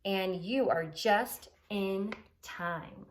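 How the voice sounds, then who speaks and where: A woman's voice: medium pitch, drawn-out words. A woman voicing a cheerful, clever, helpful narwhal in a children's storybook, upbeat and enthusiastic. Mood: welcoming.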